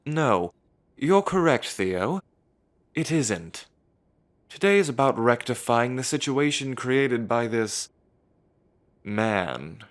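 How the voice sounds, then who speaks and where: A man's voice: medium pitch, sing-song, takes it slowly, long pauses. An actor playing a proud, hostile male elder of a werewolf council, speaking with cold contempt. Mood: contemptuous. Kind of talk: audio drama acting.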